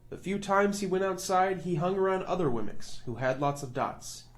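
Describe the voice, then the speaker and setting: A man narrating a children's storybook aloud as a storyteller, with expressive delivery. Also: sits high, normal speed.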